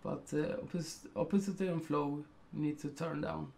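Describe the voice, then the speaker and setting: A man's voice: a little high, steady tone, moderate pace, vocal fry, a little mellow. A middle-aged man from Sweden with a Swedish accent, talking through a photo editing tutorial at his computer and thinking aloud with many ums and uhs. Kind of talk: casual screen-recorded tutorial.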